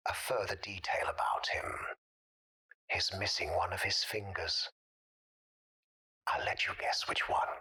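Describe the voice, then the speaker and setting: An actor playing a polite but sinister middle-aged man whom nobody can remember, speaking formally and deliberately in a husky, breathy voice for an audio drama. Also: deep voice, fairly even intonation, sharp tone, heavily acted, rough recording. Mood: guarded, deliberate. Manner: courteous, deliberate, formally worded.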